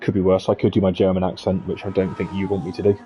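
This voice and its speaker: A man's voice: sits low, little pitch movement, fast, dull audio, slight background noise. A man giving live, chatty commentary on an online racing league, upbeat with playful asides. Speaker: esports race commentator.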